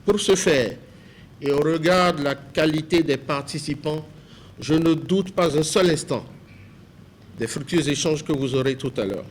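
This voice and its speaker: A man's voice: a little high, a little slow, slight background noise. A man reading a formal opening speech as an official.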